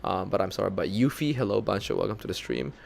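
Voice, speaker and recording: Young filipino guy streaming, low gravelly voice, chatty and laughs a lot, kinda american-sounding english. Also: quick pace. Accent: light North American-leaning English, Filipino speaker. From Philippines. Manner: chatty, self-deprecating, laughs often, animated when retelling. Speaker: VTuber streamer. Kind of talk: casual live-stream chat with viewers.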